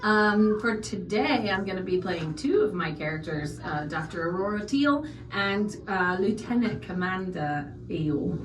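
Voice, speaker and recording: A woman's voice: mid-range voice, animated, measured pace, few pauses. A woman chatting casually at a tabletop game, playful and giggly. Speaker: player, social media person. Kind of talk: casual chat. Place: indoor room at a holiday gathering. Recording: echoey room, distant mic, loud background noise.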